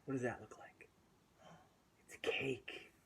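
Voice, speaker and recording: A man reading a picture book aloud to young children in an animated, drawn-out way, asking questions and dropping into whispers. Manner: drawn-out, asks the listeners questions, drops to whispers. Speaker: children's storytime host.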